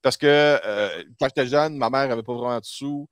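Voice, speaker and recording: A man's voice: mid-range voice, normal speed, a crowd in the background. A man with a Quebec French accent hosting a casual group chat, telling stories in a colloquial, chatty way. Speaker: talk show host. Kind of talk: casual group chat about nostalgia and toys.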